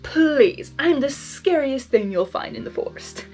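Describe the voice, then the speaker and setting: A woman acts out a cocky male monster of chaos in a storybook reading, swooping about in pitch. He is theatrical, breezy and teasing, with emphatic delivery over music. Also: lively intonation, unhurried, a little mellow. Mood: boastful.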